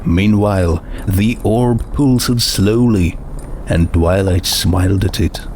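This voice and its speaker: A man's voice: deep voice, slow, a little mellow, a little hiss or hum. A man reading a story aloud as narrator, in a scripted storytelling style. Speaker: fan fiction narrator.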